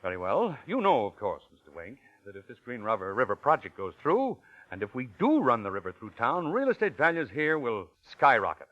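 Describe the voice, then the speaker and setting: An actor playing a smooth-talking con man who poses as a learned professor, pompous and wordy, in 1940s radio drama style. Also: mid-range voice, wildly swooping pitch, brisk, hardly any pauses, dry recording. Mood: smoothly scheming. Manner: pompous, wordy, theatrical delivery. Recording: muffled old radio broadcast.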